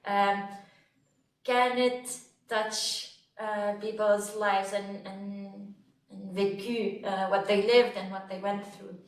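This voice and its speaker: A woman moderates a panel, speaking reflectively with frequent pauses and fillers. She sits back from the mic in a roomy lecture hall, so her voice carries a noticeable echo. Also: medium pitch, flat delivery, far from the mic. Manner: reflective, halting, many fillers. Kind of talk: panel discussion.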